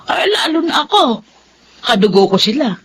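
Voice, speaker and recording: A woman's voice: on the high side, very animated, normal speed, slightly rough. An actress playing an exasperated, outspoken Filipino mother, scolding expressively in a radio drama. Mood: saddened, resigned.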